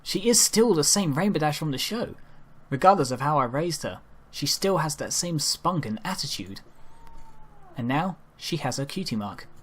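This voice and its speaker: A man's voice: on the high side, big pitch swings, normal speed, breathy edge. A man reading a first-person story aloud as an amateur narrator, sometimes stumbling over his lines. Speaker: amateur reader narrating a fan fiction story.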